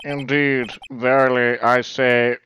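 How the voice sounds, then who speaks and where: A man voicing a proud, formal but flustered male prosecutor in a game fan dub, halting and stiffly pompous. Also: medium pitch, little pitch movement, pushed and bright, a little hiss or hum, low-quality recording. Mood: stiff, awkward.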